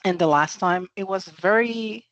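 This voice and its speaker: A woman's voice: medium pitch, measured pace, fairly bright tone, no room sound. A woman co-hosting a podcast, speaking earnestly and hesitantly with many restarts. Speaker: podcast co-host. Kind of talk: casual interview chat.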